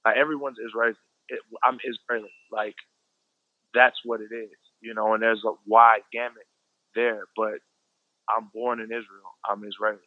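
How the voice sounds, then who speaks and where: A man's voice: fairly low pitch, level tone, moderate pace, no echo, phone-quality sound. A man with an American accent explaining his views in casual conversation, emphatic and repeating phrases for stress.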